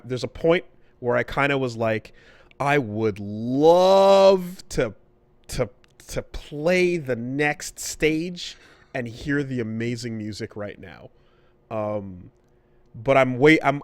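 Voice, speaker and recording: A man's voice: mid-range voice, dry recording. Dry-sounding man chatting as co-host. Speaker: podcast co-host. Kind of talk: casual chat about video games.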